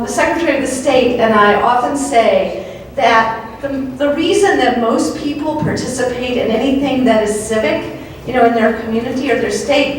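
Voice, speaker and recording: A woman opening a civic summit with an earnest welcoming speech, repeating key words for emphasis. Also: on the high side. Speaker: event organizer opening a summit. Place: large library event room. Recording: very echoey hall, distant mic, faint hiss.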